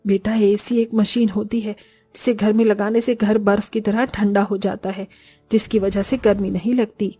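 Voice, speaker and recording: A woman playing a kindly, poor village grandmother who explains gently and patiently in a cartoon story. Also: mid-range voice, flat delivery, normal speed, faint music behind, natural voice.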